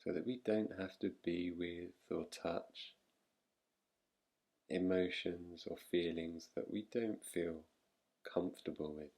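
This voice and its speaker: A man guiding a relaxation meditation in a soothing, unhurried manner, leaving long pauses between short phrases. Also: low voice, steady tone, gravelly, a little mellow. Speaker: meditation guide. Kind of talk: guided relaxation meditation, yoga nidra.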